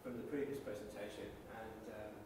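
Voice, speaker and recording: A man's voice: lowish voice, steady tone, a little fast, creaky. Hesitant man heard from across an echoey room. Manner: hesitant, filler-heavy. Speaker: meetup organizer introducing speaker. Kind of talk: casual introduction. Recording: distant mic in an echoey room with background noise.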